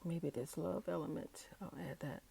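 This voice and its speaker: A woman with a cold, her voice hoarse and froggy, casually talking herself through decorating a planner page, with plenty of okays and uhs as she thinks aloud. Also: sits low, fairly even intonation, moderate pace, mellow, a little hiss or hum. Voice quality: raspy, froggy voice. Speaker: digital planner video creator. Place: indoors at a tabletop, hands working an overhead-filmed tablet.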